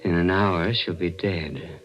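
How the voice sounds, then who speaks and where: An actor playing a sinister, brooding husband who claims to foresee murders, menacing and deliberate, in a 1940s radio mystery. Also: male voice, low-pitched, fairly bright tone, a little hiss or hum. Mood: eerie, ominous. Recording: muffled old radio recording with music.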